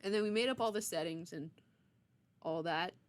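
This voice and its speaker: A woman's voice: lowish voice, moderate pace. A young adult woman streamer chatting casually with her viewers in a playful, teasing, mock-stern way. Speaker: streamer, game writer. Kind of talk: casual livestream chat.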